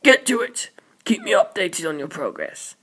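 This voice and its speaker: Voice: medium pitch, big pitch swings, moderate pace, bright, forceful tone, slightly rough, heavily acted. A narrator playing a terse commanding spaceship captain. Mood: brisk. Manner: authoritative, terse.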